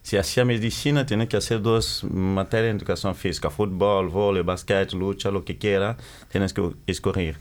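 A man's voice: deep voice, steady tone. A middle-aged man from the Democratic Republic of the Congo, giving interview answers and often stopping to correct his words. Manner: halting, self-correcting, searching for words. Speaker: guest, capoeira practitioner.

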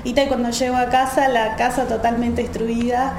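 A young woman with a Uruguayan accent, a student volunteer telling her story in a radio interview, halting with many fillers and restarts. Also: fairly high pitch, flat delivery, echoey room, noisy background. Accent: Uruguayan Spanish. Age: young adult.

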